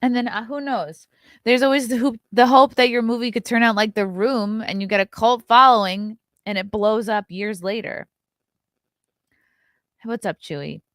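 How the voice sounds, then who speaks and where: A woman's voice: on the high side, moderate pace, no echo, studio quality. A woman in her thirties hosting a casual livestream, reading chat comments and reacting with blunt, sarcastic commentary and frequent swearing. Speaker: livestream host.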